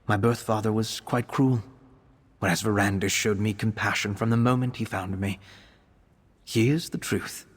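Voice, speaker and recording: A bookish young male vampire scholar speaks in a husky voice that rises and falls freely. He is courteous, earnest and precise, with formal wording suited to a fantasy game. A long reverberant tail follows his words. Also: medium pitch. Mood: sober, sincere. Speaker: game character, arcane investigator. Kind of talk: scripted game character monologue.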